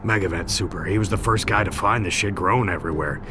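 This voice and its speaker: An actor playing a gruff, blunt, foul-mouthed security officer in a dramatic motion comic. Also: male voice, low-pitched, fast talker, noisy background, natural voice. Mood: weary, steady. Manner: blunt, profane, commanding. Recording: loud dramatic music over dialogue.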